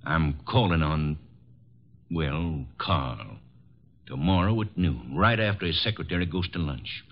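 An actor playing a bitter, stubborn drifter bent on revenge, blunt and intense, in an old radio drama. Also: male voice, rumbling low, expressive, measured pace, heavily acted. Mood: grim resolve. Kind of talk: old-time American radio drama acting. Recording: dull old radio broadcast recording.

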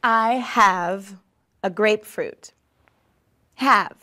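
A woman in her thirties giving a scripted English lesson, deliberate and enunciated. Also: medium pitch, sharp tone. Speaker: English teacher. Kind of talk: slow scripted language lesson. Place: small classroom set, indoors.

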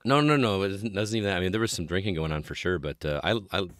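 A man hosting a video game podcast in casual chat, his voice slightly hoarse, upbeat and chatty, often trailing off low at phrase ends. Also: lively intonation, quick pace, a bit bright, no echo, studio quality. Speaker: podcast host.

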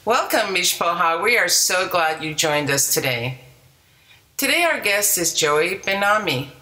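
A woman hosts a radio interview program with a low-pitched voice. She introduces the show and asks her questions calmly, at a measured pace. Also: rough recording.

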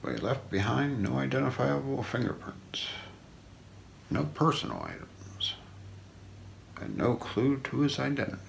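A man in his forties doing an ASMR role play, narrating an unsolved mystery script in a hushed, deliberate way with trailing phrase ends. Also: medium pitch, very animated, gravelly. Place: small indoor room. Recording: faint background noise.

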